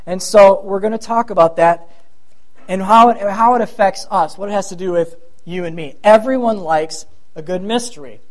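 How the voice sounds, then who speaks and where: A man's voice: sits high, moderate pace, a little mellow. A middle-aged man with a thin voice giving a sermon as pastor, chatty and self-deprecating with rambling asides.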